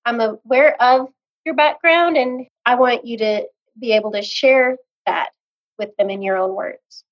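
A woman's voice: fairly high pitch, a little slow, dull audio. A woman hosting a podcast, asking encouraging, friendly questions in an interview. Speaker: podcast host.

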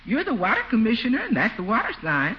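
An actress playing a good-natured, opinionated housekeeper in a 1940s radio comedy, folksy and emphatic. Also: female voice, on the high side, huge pitch swings, quick pace. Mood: confident, cheerful. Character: good-natured, plain-spoken adult female housekeeper, confidently opinionated. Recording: dull, faintly noisy 1940s radio.

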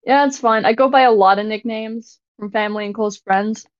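A woman hosting a casual podcast in a fairly deep voice, rambling and stumbling over her words a little. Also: fairly quick, no echo. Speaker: podcast host. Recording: dull lo-fi dry audio.

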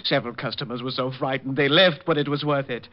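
A man's voice: medium pitch, fairly even intonation, fast, natural voice. A man playing a fussy, catty male hat designer in a 1950s radio drama, with a theatrical, snippy delivery. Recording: dull lo-fi old radio broadcast.

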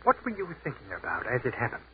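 An awestruck captain in an old-time radio horror play. He is intense and dramatic, halting between phrases, his pitch moving widely. The sound is muffled 1940s radio with a light hiss. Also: huge pitch swings. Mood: urgent, probing. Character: earnest army captain, awestruck by the afterlife.

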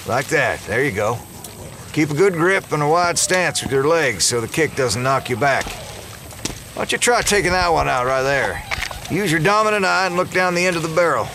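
A man playing a steady, folksy small-town sheriff in a comedic zombie audio drama, halting and earnest. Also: fairly high pitch, noisy background. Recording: music behind the voice.

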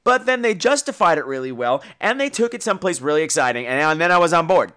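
A man hosting a podcast, giving casual, opinionated and profane film commentary in an animated way. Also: a little high, fast talker, clear, resonant tone. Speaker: podcast host.

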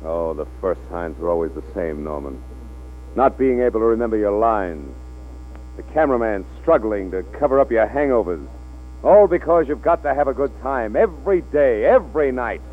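A man's voice: lowish voice, lively intonation, moderate pace, close to an everyday voice. An actor playing an exasperated, fatherly male film studio boss in a 1940s radio drama. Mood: stern, disappointed. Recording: old 1940s radio, tinny with hum.

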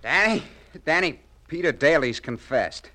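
An actor playing a brisk, sceptical police detective in a 1950s radio crime drama. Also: male voice, on the high side, animated, sharp tone, soft background music. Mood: sceptical, excited.

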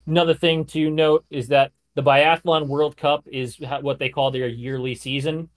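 A man's voice: a little high, little pitch movement, normal speed. A man co-hosting a podcast, casually recounting an athlete's career with frequent "like" and "you know". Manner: chatty, lots of "like" and "you know", occasional restarts. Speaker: podcast co-host.

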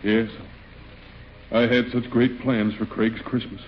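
An actor playing a downcast, fond American father in a 1940s radio comedy, speaking in a measured, wistful way. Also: medium pitch, little pitch movement, close to an everyday voice. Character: downcast, fond father grieving over lost Christmas plans. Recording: muffled 1940s radio, slight noise, audience.

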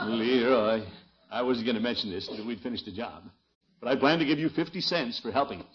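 An actor playing a pompous, blustery middle-aged uncle and small-town official in a 1950s radio comedy, with a theatrical delivery. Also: male voice, mid-range voice, big pitch swings, normal speed, lightly acted. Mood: amused, coaxing. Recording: dull, noisy old radio recording.

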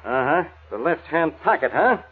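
An actor playing a gruff, exasperated police inspector, curt and bossy, in a 1940s radio crime drama. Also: male voice, fairly high pitch, big pitch swings, moderate pace, exaggerated voice. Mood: irritated, suspicious. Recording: tinny, narrow-band old radio recording.

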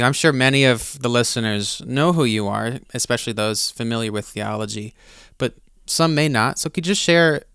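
Male interview host, mid pitch, smooth and clear, dry close sound. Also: fairly bright tone, dry recording. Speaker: podcast host. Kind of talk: interview host, casual and appreciative.

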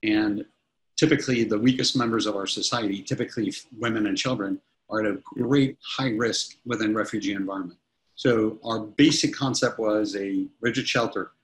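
Man in his 50s, CEO guest, a touch low-pitched, talks in loose, restarting sentences. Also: normal speed, rough recording. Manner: rambling, many restarts and fillers. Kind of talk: casual interview answers.

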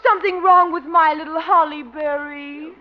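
An actress playing a gushing, coy, sugary sweetheart in 1950s radio comedy. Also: female voice, sits high, steady tone, normal speed, natural voice. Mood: soothing, cooing. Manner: cooing, gushing. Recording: muffled old radio recording, faint noise.

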